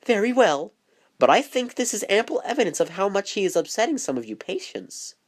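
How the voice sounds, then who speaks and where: A woman's voice: mid-range voice, big pitch swings, brisk, voice pushed up. A woman voicing a stern, controlling head nurse with formal, condescending authority in an amateur film. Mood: controlled, firm. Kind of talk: scripted amateur film dialogue.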